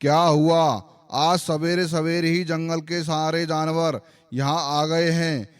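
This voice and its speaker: Man voicing a high-pitched, kindly lion king. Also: little pitch movement, slow, clear, resonant tone, dry recording, studio quality. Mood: questioning. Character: kindly lion king of the forest, reassuring and authoritative. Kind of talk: Hindi animated moral-story voice acting.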